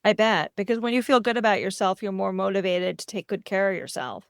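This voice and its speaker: A woman's voice: medium pitch, fairly quick, clean tone, no room sound, studio quality. A woman hosting a casual interview, affirming her guest and asking questions in a conversational way. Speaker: podcast host, interviewer. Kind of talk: casual supportive interview chat.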